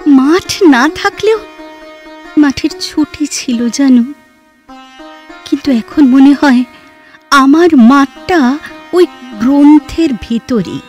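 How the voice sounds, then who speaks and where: A woman playing a reserved, bookish, introspective woman in an audio drama, speaking in a measured, hesitant and expressive way. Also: high voice. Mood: calm, reflective. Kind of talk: Bengali audio drama dialogue. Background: background music.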